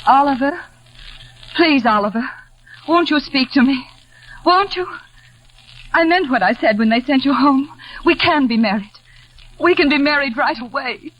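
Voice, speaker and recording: An actress playing a tender, hesitant young fiancée in distress in a 1940s radio drama. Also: female voice, high-pitched, lively intonation, normal speed, long pauses, a little hiss or hum. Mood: pleading distraught. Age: young adult. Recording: muffled vintage 1940s radio recording.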